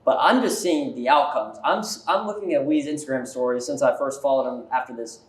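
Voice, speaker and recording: A man's voice: medium pitch, a little fast, echoey room, poor audio. A man conducting a casual interview, speaking informally and peppering his questions with "like". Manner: informal, filler-heavy with frequent "like".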